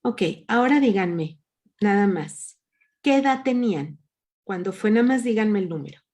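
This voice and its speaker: A woman's voice: mid-range voice, expressive, normal speed, darkish tone. A woman leading an online workshop, patiently asking her group questions over a video call. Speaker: workshop leader.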